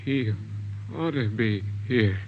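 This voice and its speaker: Very slow, dying man on old radio. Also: mid-range voice. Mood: bitter, fading. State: weak, gasping, halting. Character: dying wounded male crook, dazed and bitter. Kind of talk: 1950s radio drama acting. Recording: dull, slightly noisy vintage radio recording.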